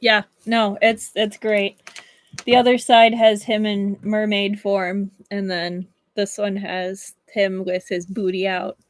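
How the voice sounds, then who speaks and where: A woman livestreaming as a book reader, with expressive storybook narration and casual chat. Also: mid-range voice, takes it slowly, no echo.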